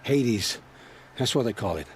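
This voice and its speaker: A man's voice: mid-range voice, lively intonation, a little slow, slight background noise, natural voice. A man playing a haunted, grim scavenger. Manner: intense, dramatic storytelling. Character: haunted male scavenger, middle-aged, wary and grim. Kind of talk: video game voice acting.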